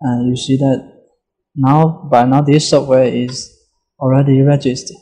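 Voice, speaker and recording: A man giving an unscripted step-by-step software walkthrough in a halting way, often pausing mid-sentence. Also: mid-range voice, level tone, rough recording. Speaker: software tutorial presenter.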